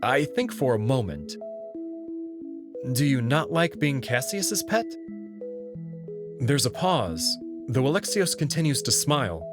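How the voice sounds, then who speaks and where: A man's voice: medium pitch, very animated, a little slow, pauses often, music in the background. A man reading a visual novel aloud in first person, moving between narration and dialogue. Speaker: narrator and player reader.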